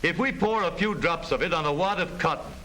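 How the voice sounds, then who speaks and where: A man's voice: on the high side, brisk, sharp tone. An American man reading scripted narration for a 1930s educational film in a formal, emphatic, explanatory manner. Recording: noisy vintage 1930s film soundtrack.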